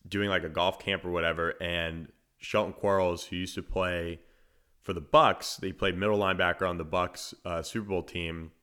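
A man with a naturally deep voice, hosting a solo sports podcast in a conversational, analytical way, often restarting his phrases. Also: steady tone, normal speed, fairly bright tone, clear, resonant tone. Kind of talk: solo podcast commentary and storytelling.

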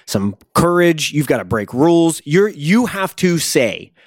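A man's voice: on the high side, big pitch swings, unhurried, no echo, studio quality. A man co-hosting a podcast in casual chat, earnestly building his argument. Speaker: podcast co-host. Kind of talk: casual pop culture chat.

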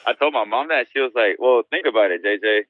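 A man's voice: medium pitch, fast, no echo. A young man in his twenties from Spain and South Carolina, answering interview questions in an easygoing way on a phone line. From born in Spain, raised in South Carolina. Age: 20s. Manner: easygoing, laughs often, short answers. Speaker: guest, college football prospect.

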